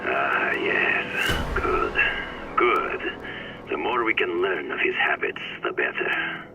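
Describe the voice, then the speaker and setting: A gravelly, low-voiced radio mentor. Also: male voice, big pitch swings, slow, lo-fi sound, over music, exaggerated voice. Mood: calm.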